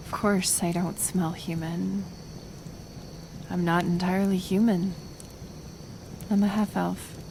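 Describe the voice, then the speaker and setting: Casting: woman as kind, lonely half-elf healer for ASMR roleplay; unhurried, hushed, tentative delivery with some vocal fry. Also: lowish voice, flat delivery, noisy background. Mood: flustered, frank. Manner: soft, hesitant, soothing, with pauses. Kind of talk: intimate ASMR roleplay acting.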